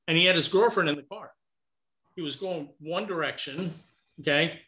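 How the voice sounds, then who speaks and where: Animated storyteller man on an echoey call. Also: fairly high pitch, normal speed. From Cuba. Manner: animated storyteller, talks with his hands. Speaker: guest, author. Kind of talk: casual storytelling interview. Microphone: remote call. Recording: echoey, muffled call audio.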